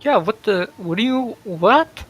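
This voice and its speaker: A young male streamer gives casual, incredulous reactions to a video game. His voice is bright and forceful, and he lets the words come slowly, with blunt slang. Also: high voice, expressive, husky, soft background music. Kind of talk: casual gameplay reaction commentary.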